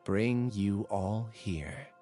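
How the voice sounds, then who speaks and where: A man playing an earnest, subdued young outcast researcher in an anime video game, speaking in a measured, confessional way. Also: fairly low pitch, warm tone, soft background music. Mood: resigned, confessional.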